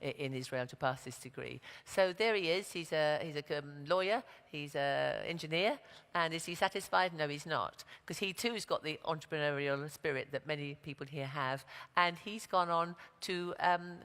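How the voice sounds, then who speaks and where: A woman's voice: sits low, moderate pace, a bit bright. A woman presenter giving an emphatic stage introduction of a speaker in a large hall. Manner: emphatic, commending tone. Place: large hall before a big audience. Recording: long reverb of a big hall.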